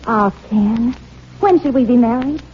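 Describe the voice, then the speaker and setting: A muffled old radio recording with light noise carries an actress as a high-pitched, eager sweetheart. She is romantic yet practical, in the style of 1940s radio comedy. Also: unhurried, natural voice. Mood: dreamy, eager.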